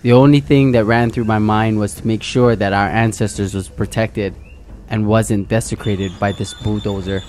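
A man's voice: lowish voice, fairly even intonation, moderate pace, a little mellow, slight background noise. A man in his thirties from the island of Hawaii, narrating his documentary in an earnest way, his voice sometimes catching with emotion. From island of Hawaii, USA. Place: indoor studio set, outdoor forest for interview.

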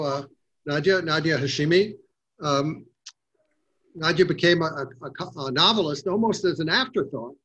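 An older man moderating a book panel over a video call from his home, measured and often pausing with "uh". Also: fairly high pitch, a bit bright. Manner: measured, with frequent "uh" hesitations. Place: home room. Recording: dull lo-fi video call audio.

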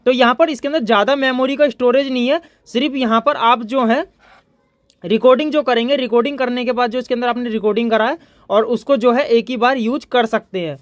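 A man's voice: extremely high pitch, steady tone, brisk, dry recording. A man from India, presenting an informal hands-on electronics tutorial as its host.

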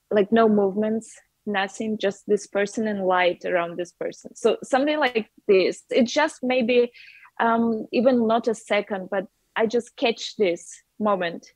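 A woman in her thirties with a Russian accent chats casually on a video call. She talks through earbuds with plenty of fillers and light laughter. Her voice sits at a middle pitch, and the sound is close and dry. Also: no echo. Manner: chatty, laughs often, many fillers. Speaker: artist guest. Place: bright room with a wooden ceiling. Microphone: wireless earbuds on a video call.

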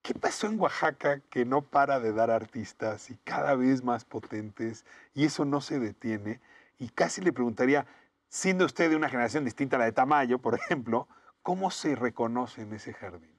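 A middle-aged man, hosting a studio interview with measured, reflective questions. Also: medium pitch, lively intonation, no echo. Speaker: interview host. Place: indoor TV studio set. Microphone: lapel.

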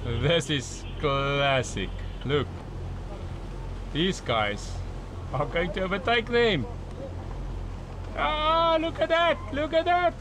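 A man's voice: high voice, takes it slowly, long pauses. A man hosting a sailing vlog, casually commenting live on a regatta from the deck of a boat. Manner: excited, commenting on a race as it happens. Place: outdoors on a sailing catamaran at sea. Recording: windy outdoor noise. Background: wind and water noise.

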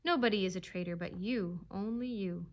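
A woman's voice: mid-range voice, moderate pace, muffled recording, natural voice. A woman playing a wounded storybook fox, desperate and embittered, speaking with intense feeling. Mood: scornful. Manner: beseeching, embittered, hissing. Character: wounded fox, desperate, pleading, then bitterly contemptuous.

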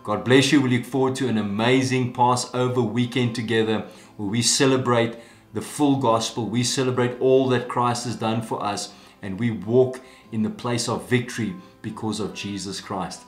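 A man's voice: mid-range voice, steady tone, normal speed. A man in his forties, a pastor, invites the church to its services, speaking straight to the camera and inviting people in. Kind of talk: church announcement video. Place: small indoor room with plants and an orange chair. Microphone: camera framed from the chest up. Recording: echoey room with soft guitar music.

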